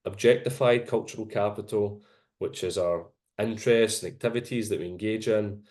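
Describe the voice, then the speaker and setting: A man with a Glaswegian accent presenting his research in an online seminar, in a measured, explanatory way over a video call. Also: lowish voice, darkish tone. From Glasgow.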